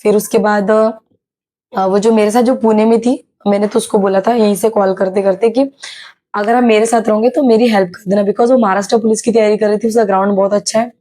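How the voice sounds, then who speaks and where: A young woman from Maharashtra with a slightly sore, hoarse throat, telling a casual vlog story with many hesitations. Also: medium pitch, dark tone. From Maharashtra, India. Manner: chatty, many hesitations and English words mixed in. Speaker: YouTuber sharing her exam experience.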